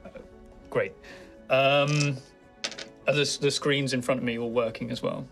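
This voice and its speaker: A man improvising casually as a player in a tabletop roleplaying game, speaking in a halting way. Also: mid-range voice, fairly even intonation, creaky, a bit bright. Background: music.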